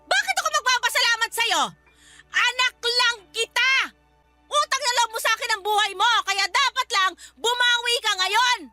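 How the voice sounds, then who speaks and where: A woman's voice: very high-pitched, moderate pace, no echo, faint music behind, full character voice. Piercing, scolding mother. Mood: furious. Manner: scolding, belittling, forceful. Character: harsh, scornful, domineering middle-aged mother. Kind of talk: Tagalog radio drama acting.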